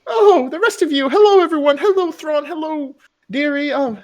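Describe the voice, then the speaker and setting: A woman's voice: high-pitched, normal speed, voice pushed up, lightly acted. A man voicing a doting, fussy motherly older woman who gushes and repeats her greetings. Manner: gushing, repeats greetings over and over.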